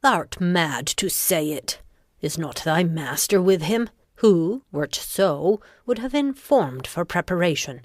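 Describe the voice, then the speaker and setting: A woman playing an ambitious, commanding noblewoman who schemes, in a dramatic Shakespearean reading with deliberate, intense delivery. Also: lowish voice, animated, no echo, clean studio sound. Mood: imperious.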